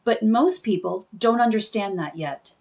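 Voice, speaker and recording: A woman's voice: medium pitch, unhurried, a little mellow, natural voice. A woman voicing an earnest, attentive suffragette mother in a storybook, explaining things patiently and with emphasis.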